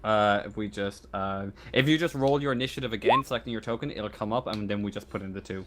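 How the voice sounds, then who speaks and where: A man running a tabletop game as game master, narrating casually and haltingly, often repeating words as he thinks. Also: fairly low pitch, heavy background noise.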